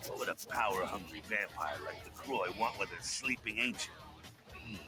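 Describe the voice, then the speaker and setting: A man's voice: fairly low pitch, moderate pace, lightly acted. An actor playing a streetwise, sardonic male vampire rebel leader in a video game, talking in a drawling, conspiratorial way. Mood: wry. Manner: drawling, conspiratorial, calls the player kiddo. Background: game music.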